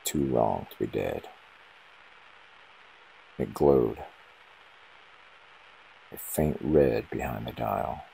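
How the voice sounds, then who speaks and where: A man reading a scripted first-person horror story in short, ominous, dramatic phrases, with a gravelly, husky voice. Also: rumbling low, expressive, extremely slow, long pauses, dark tone, a little hiss or hum, poor audio.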